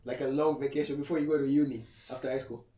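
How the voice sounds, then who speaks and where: A young man from Kenya talks in an easy, slangy, unhurried way, like a tattoo artist chatting with a friend. He sits back from the microphone, so his voice sounds distant and picks up the room's echo. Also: mid-range voice, fairly even intonation, mellow. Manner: laid-back, slangy, laughs often. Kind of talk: casual podcast chat. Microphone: podcast mic set back. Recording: echoey room, voice off-mic.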